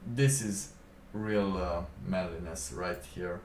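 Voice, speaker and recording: A man live streaming, chatting casually in a halting, rambling way with lots of fillers. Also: low-pitched, darkish tone, a little hiss or hum. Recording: roomy, distant mic with faint ambience.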